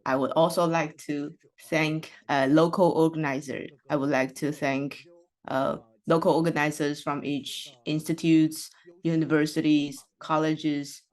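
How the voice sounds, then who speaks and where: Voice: medium pitch, flat delivery, measured pace. An interpreter voicing a host's welcome remarks and housekeeping notes, hesitating often with fillers and restarts.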